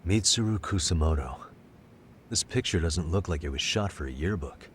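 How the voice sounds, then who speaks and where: Calm deep-voiced detective. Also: male voice, moderate pace, slight background noise. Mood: thoughtful. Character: calm, analytical adult male detective. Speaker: detective lead. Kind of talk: video game voice acting.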